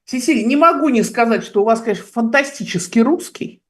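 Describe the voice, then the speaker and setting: A woman hosting a political interview show, direct and quick to step in with questions. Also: a little high, animated. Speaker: talk show host.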